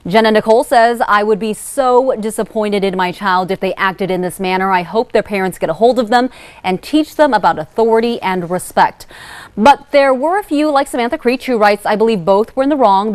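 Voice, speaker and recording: Woman, American accent, TV news anchor, mid-pitched voice, broadcast delivery, dry close sound. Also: moderate pace, dry recording.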